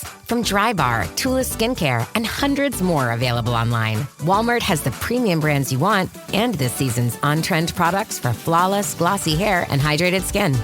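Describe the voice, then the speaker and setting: A woman reading a scripted advertisement as an announcer. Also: sits low. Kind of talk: scripted ad read. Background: music.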